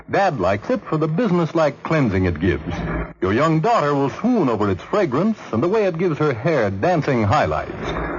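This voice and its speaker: An American man announcing in a polished, scripted 1940s radio style, pitching like an emphatic salesman. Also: a little hiss or hum. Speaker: radio announcer reading sponsor ad. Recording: muffled 1940s radio recording.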